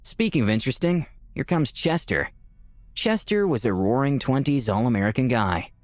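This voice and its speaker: A man's voice: medium pitch, expressive, normal speed, dry recording, natural voice. A man playing a skeptical, dryly sardonic dead man in Hell, narrating a visual novel in a wry, conversational way.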